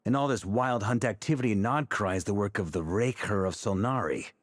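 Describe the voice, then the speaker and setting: An actor playing a seasoned, easygoing knight commander in a video game, self-assured and casual with a wry streak. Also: male voice, fairly low pitch, brisk, no echo, studio quality, natural voice. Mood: casual, serious.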